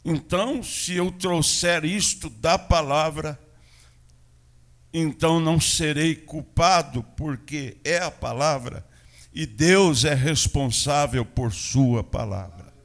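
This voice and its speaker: A Brazilian pastor with a São Paulo interior accent delivers a church sermon at an unhurried, deliberate pace. His voice sits a little high for a man. He swings between ringing declarations and hushed asides. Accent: Brazilian Portuguese, São Paulo interior. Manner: preaching with swings between loud proclamation and quiet asides.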